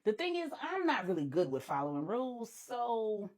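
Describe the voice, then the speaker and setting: A woman's voice: on the high side, sing-song, moderate pace. A woman voicing a brazen, unruly and unbothered eccentric woman, sassy and colloquial, in an audio story. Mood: nonchalant defiance.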